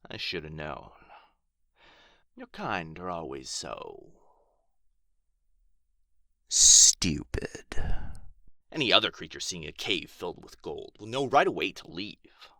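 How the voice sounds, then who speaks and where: A man playing an irritable, proud dragon guarding his hoard in an ASMR fantasy roleplay, with a theatrical, haughty, breathy delivery. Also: low-pitched, sing-song, takes it slowly, pauses often, pushed and bright, no echo, clean studio sound, heavily acted. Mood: scornful, teasing.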